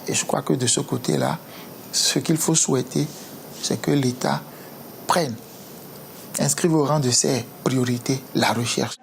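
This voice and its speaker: A man answering an interview in a slow, deliberate way. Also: medium pitch, fairly even intonation, creaky, slight background noise.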